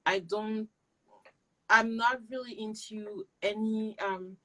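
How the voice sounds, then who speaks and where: A woman's voice: medium pitch, fairly even intonation, takes it slowly, pushed and bright, rough recording. A young woman from Cameroon whose first language is not English, giving earnest and direct advice as an interview guest. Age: young adult. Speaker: interview guest, PhD student.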